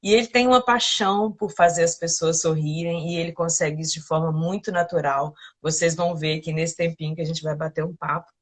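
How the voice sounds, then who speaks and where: A woman hosting a live interview, introducing her guest warmly and asking encouraging questions. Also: low voice, steady tone, a little fast, hardly any pauses. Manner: enthusiastic, encouraging, laughs often. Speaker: host.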